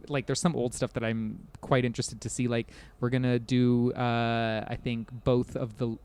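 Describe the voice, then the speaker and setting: A man's voice: mid-range voice, darkish tone, clear, resonant tone. A man chatting casually as a podcast guest, rambling and self-deprecating, often hedging and chuckling as he talks.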